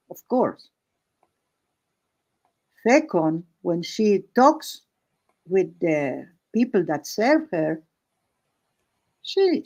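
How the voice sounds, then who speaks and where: Woman storyteller, english not her first language, talks really slow and halting, casual made-up tale. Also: mid-range voice, poor audio. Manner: halting, phrase-by-phrase delivery with searching pauses. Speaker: host of an art and story stream.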